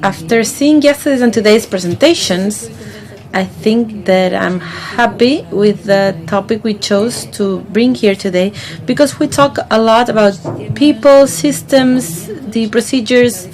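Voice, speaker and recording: A woman simultaneously interpreting a conference talk into English, pausing mid-phrase with fillers as she catches up. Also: a little high, darkish tone.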